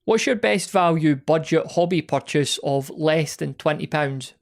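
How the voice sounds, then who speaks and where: A man's voice: on the high side, measured pace, creaky. A man reading out prepared questions as a podcast interviewer.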